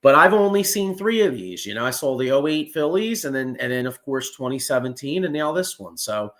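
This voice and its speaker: A man, hosting a casual sports chat, excitable and profane with lots of restarts. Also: medium pitch, fairly quick. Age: 40s. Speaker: podcast host.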